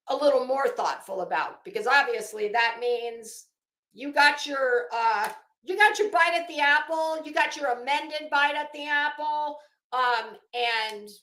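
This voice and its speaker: Bright-voiced, slow-paced woman livestreamer. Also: sits high. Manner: blunt, sarcastic, salty-tongued, emphatic. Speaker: livestream host. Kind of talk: casual livestream chat and commentary, greeting viewers. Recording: roomy, echoing home recording.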